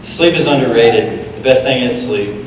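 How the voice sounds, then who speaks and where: A man's voice: medium pitch, moderate pace. A young man, a student, performing a readers theatre monologue in simple short statements. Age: teens to young adult. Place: school auditorium stage. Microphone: stand mic, heard from distant camera. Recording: echoey hall, distant mic, noisy.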